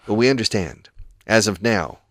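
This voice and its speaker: A man's voice: on the low side, lively intonation, moderate pace, no echo, studio quality. A middle-aged man hosting a radio English lesson, patiently explaining phrases and switching between two languages. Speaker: English teacher and radio host.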